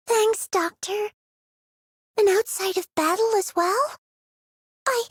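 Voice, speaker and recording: Very high, shy little girl. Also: dry recording, studio quality. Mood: shy, hopeful. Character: shy, earnest young girl fighter, easily amazed by kindness. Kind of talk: scripted game character voice line.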